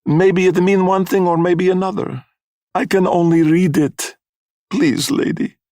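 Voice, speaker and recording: A man's voice: high voice, steady tone, a little slow, darkish tone, no room sound, studio quality, pitched above the performer's own voice. A man voicing an old immigrant woman fortune-teller in a story, pleading defensively in broken English. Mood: pleading, frightened.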